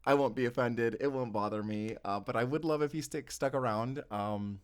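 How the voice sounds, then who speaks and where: A man's voice: mid-range voice, moderate pace, smooth. A man casually addressing his listeners as an audio creator, easygoing and hesitant in his phrasing.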